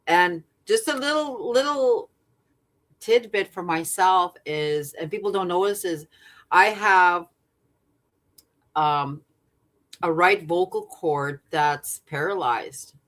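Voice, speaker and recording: A songwriter woman from Saskatchewan with a deep voice and a natural vibrato, chatting earnestly as a guest, rambling with fillers and restarts. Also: slow, long pauses, fairly bright tone. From Saskatchewan, Canada. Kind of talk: casual interview chat.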